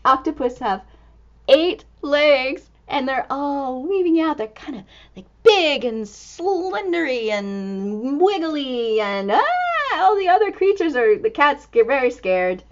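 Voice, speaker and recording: Woman, children's read-aloud, swooping expressive pitch, unhurried delivery, hushed asides, slightly dull lo-fi recording. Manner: expressive, exclaiming, drawn-out words with hushed asides. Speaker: storyteller and teacher reading a picture book aloud.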